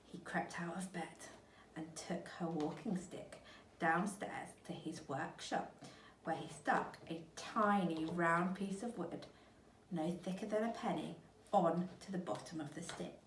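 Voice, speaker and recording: A woman teacher reading a storybook aloud to children, expressive and speaking straight to them. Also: fairly low pitch, a little hiss or hum. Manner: expressive, addresses young listeners. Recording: echoey room, mic at a distance.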